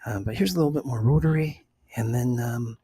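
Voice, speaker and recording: A middle-aged man chatting casually for ASMR in a hushed, breathy, whispery voice with an unhurried manner. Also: mid-range voice, dry recording, clean studio sound. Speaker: ASMR video host. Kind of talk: ASMR, casual unscripted chat.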